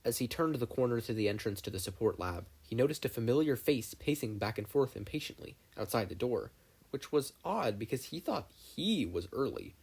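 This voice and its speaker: A podfic narrator reading scripted fiction aloud in an expressive storytelling style. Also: mid-range voice, moderate pace, breathy edge.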